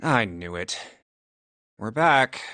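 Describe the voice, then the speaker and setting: Game lead: an exasperated, sardonic young man, measured pace, lively intonation. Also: fairly low pitch, very noisy. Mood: thoughtful. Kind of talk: English video game voice acting.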